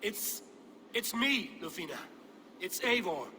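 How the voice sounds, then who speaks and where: An actor playing a steadfast, grimly determined Norse warrior in a video game, speaking in blunt, terse phrases. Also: male voice, high-pitched, very animated, slow pace, sharp tone, slight background noise, heavily acted. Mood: reassuring. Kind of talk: dramatic video game acting.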